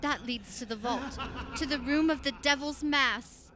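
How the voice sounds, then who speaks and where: A woman's voice: high voice, measured pace, very noisy. An actress playing a superstitious, foreboding woman in an old-time radio horror drama, ominous and pleading. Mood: dread. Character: foreboding, superstitious woman warning of ancient evil.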